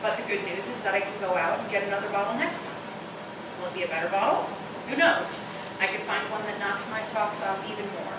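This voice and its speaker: The sound is heavily echoing and noisy, as in a live radio play recorded from a distance. An actress plays a witty, opinionated woman who savors her bourbon, speaking in a middle pitch. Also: fairly quick, natural voice. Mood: earnest. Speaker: stage actor. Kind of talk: live radio-play dialogue before a microphone. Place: live theatre performance space.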